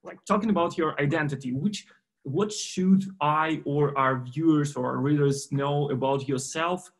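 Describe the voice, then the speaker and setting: Brief: male interviewer, not an English speaker, gravelly and halting, keeps restarting words. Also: a little high. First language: Ukrainian. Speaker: interviewer at a book forum. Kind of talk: interview questions.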